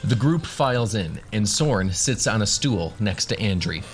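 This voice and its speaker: Low-voiced man narrating fantasy. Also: lively intonation, a little slow, soft background music. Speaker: game master and narrator. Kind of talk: scripted narration of a tabletop fantasy story, voicing characters.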